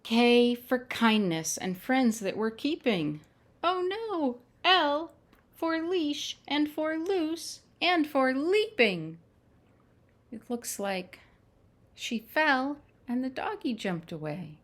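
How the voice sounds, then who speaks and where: A woman reading picture books aloud to young children in simple, measured phrases, with little asides to her listeners. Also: sits high, very animated. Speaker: children's storytime reader. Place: at home.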